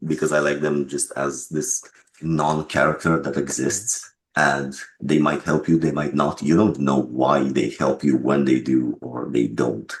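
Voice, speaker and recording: A man speaking as an interviewed game developer, explaining his choices thoughtfully in a casual way. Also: very deep voice.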